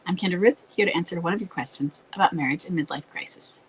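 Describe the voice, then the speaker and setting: A woman with a slightly low, very husky voice hosts an advice talk. She sounds congested and scratchy, as if her voice is going out. She explains things haltingly, moving between reading and commenting. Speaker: advice show host. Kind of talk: advice talk with read-out letters.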